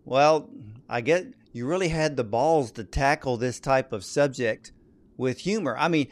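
A man's voice: medium pitch, measured pace. A man hosting a conversational interview, speaking emphatically and addressing his listeners directly. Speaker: interview host.

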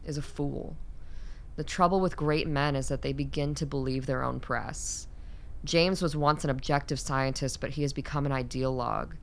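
A man's voice: medium pitch, fairly even intonation, moderate pace, creaky, close to an everyday voice. A man playing a cynical, zealous intelligence operative in a radio play. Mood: cynical, insistent.

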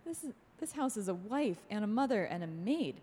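A woman playing an anxious, gentle housewife and mother in a radio play, fretful in manner. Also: medium pitch, big pitch swings, brisk, a little hiss or hum, close to an everyday voice. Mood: restless, worried. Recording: long reverb, music behind.

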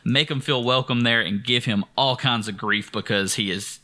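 A man's voice: medium pitch, moderate pace, pushed and bright. A man, co-hosting a gaming podcast in a playful, upbeat casual chat, often repeating words as he starts. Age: 30s. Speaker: podcast co-host.

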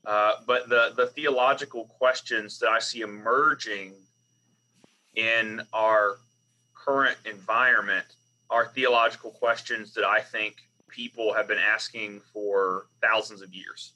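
A man's voice: fairly low pitch, fairly even intonation, measured pace, bright, forceful tone, rough recording. A man in his thirties with a Southern US accent, a biblical scholar speaking on a video call panel in a chatty, self-deprecating way. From Southern United States. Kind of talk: online panel discussion.

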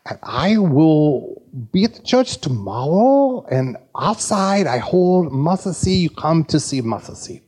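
An earnest older Korean pastor with a South Korean accent, as a preacher puts him on, speaking in short, plain sentences of broken English. The words come slowly and deliberately, pitched a touch above the preacher's own voice. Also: male voice, sing-song, a little mellow, breathy edge, exaggerated voice.

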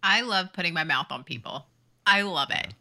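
Bright-voiced woman on a podcast, lively, talks real proper, laughs a lot. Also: on the low side, normal speed. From American South. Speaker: podcast co-host. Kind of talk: casual chat.

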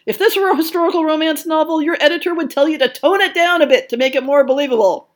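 A woman hosting a podcast, reading scripted narration in a measured, articulate and expressive way. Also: high-pitched. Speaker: podcast host. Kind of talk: scripted narration, reading book listings and blurbs.

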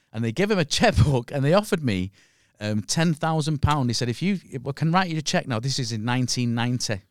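A middle-aged man with a Manchester accent tells loose, casual stories in a close, dry recording. His voice sits at an ordinary pitch, and he talks bluntly with plenty of swearing. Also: no room sound. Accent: Mancunian. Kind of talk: casual anecdotal storytelling.

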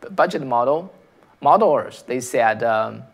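Man, chinese accent, gravelly voice, halting delivery, stream host. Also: mid-range voice, lively intonation. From China. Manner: halting, frequent restarts and rhetorical questions. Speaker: political commentary host. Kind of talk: live-stream commentary reading viewer comments.

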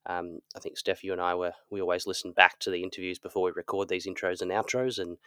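A man with a slightly croaky voice talks quickly in a low, fairly flat tone, co-hosting a podcast wrap-up. He is relaxed and conversational and uses a lot of fillers. The sound is dry and close. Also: no echo. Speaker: podcast co-host. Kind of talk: casual podcast outro chat.

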